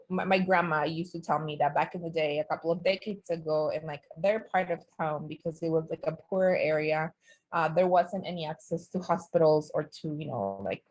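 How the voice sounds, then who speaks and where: A woman from Peru speaks as a guest at an online talk, her voice sitting a little low for a woman. She talks in a loose, searching way, trailing off and correcting herself as she answers audience questions. Her voice comes through a computer mic on a video call. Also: a little mellow, rough recording. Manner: conversational and searching, many fillers and self-corrections.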